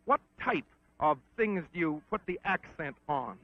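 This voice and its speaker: A man conducting a formal, polite sports interview on a 1960s film, with a slightly halting delivery. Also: on the high side, expressive. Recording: muffled 1960s film soundtrack.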